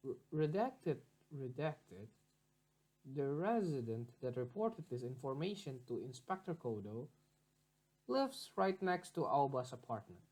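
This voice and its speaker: A man narrating a scripted investigation log in a formal, clinical, measured manner. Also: on the high side, darkish tone. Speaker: narrator reading a case report.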